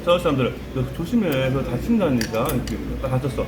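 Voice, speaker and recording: Man telling a joke at dinner, lively pitch, in a roomy space full of diners and clattering dishes. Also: quick pace, a loud crowd. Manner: tells jokes with quoted lines. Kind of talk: casual dinner-table chat. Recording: roomy, crowded dining noise.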